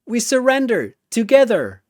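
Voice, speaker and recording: A man voicing a feisty, indignant teenage girl fighter with an animated, defensive delivery in a battle story. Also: very high voice, unhurried, no echo, clean studio sound, pitched above the performer's own voice, heavily acted. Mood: pleading, resolute.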